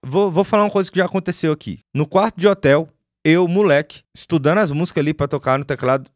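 A man in his forties with a Brazilian accent, a music producer telling stories casually to his live viewers, slangy and animated, on a studio mic in a small home studio. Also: on the high side, fast talker, dry recording. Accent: Brazilian Portuguese, lost Goiás accent. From Goiás, Brazil. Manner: animated storyteller, slangy, swears. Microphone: studio mic on boom.

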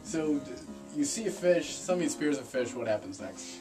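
A man's voice: on the high side, lively intonation, brisk. An American man hosting a fishing show, asking casual questions with a dry, joking manner. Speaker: fishing show host interviewing. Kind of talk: casual interview chat. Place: small wooden ice fishing shack. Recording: music playing behind the voice. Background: guitar music.

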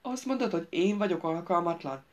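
A woman's voice: on the low side, expressive, close to an everyday voice. A woman voicing a young king who speaks in a firm, commanding way in an audiobook. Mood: stern. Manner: firm and authoritative.

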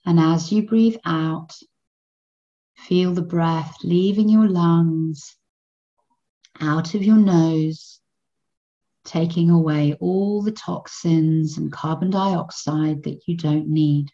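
A woman guiding a children's meditation in a gentle, soothing manner, in measured phrases with long pauses between them. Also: deep voice, steady tone, lo-fi sound. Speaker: meditation guide.

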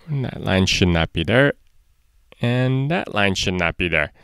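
A man's voice: lowish voice, animated, a little slow, a bit bright, smooth, no room sound, clean studio sound. A man, perhaps in his thirties, hosting a casual step-by-step drawing lesson for children in a playful way, talking to himself as he draws. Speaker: drawing tutorial host for children.

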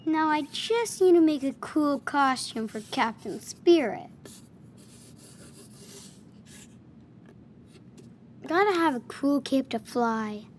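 A man's voice: very high voice, measured pace, slight background noise. A playful, imaginative ten-year-old boy. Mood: musing. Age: child figure. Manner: excited, playful, talks to himself. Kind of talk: video game voice acting.

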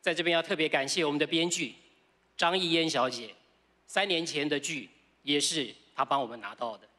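A man's voice: a little high, fairly quick, sharp tone. A man in his fifties with a Taiwanese accent, giving an award acceptance speech into a stand microphone on a large stage. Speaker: award winner.

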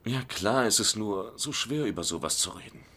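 An actor playing a hapless blue-collar husband working as a substitute teacher, blustering and exasperated in a dubbed sitcom. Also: male voice, on the low side, lively intonation, moderate pace, pushed and bright, breathy edge, full character voice. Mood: dejected. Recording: noisy sitcom soundtrack with clicks.

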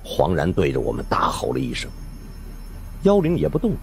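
Casting: male suspense audiobook narrator, deep pitch, dramatic lively intonation, soft music bed. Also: a little fast, creaky. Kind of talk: dramatic scripted narration of supernatural suspense fiction.

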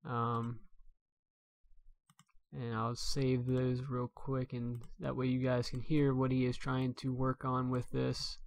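A man's voice: mid-range voice, monotone, takes it slowly, vocal fry. Man casually narrating a game preview. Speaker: streamer, host. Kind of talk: casual gameplay preview commentary.

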